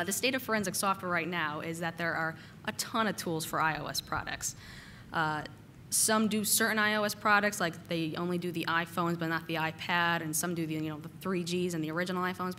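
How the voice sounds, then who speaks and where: A woman giving a casual technical conference talk, slightly hoarse from a cold and using lots of "uh" and "um" fillers. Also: on the low side, steady tone, a little fast, sharp tone, slight background noise. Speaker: conference speaker. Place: conference hall.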